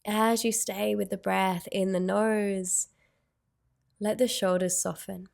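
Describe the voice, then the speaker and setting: A woman leading a guided meditation in a soothing, unhurried way, with gentle phrasing and long pauses. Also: mid-range voice, little pitch movement, gravelly.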